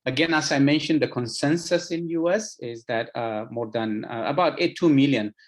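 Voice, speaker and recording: A man with an Ethiopian accent gives a medical lecture as an expert, speaking haltingly with frequent filler sounds and repeated words. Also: medium pitch. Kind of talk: lecture presentation to health professionals.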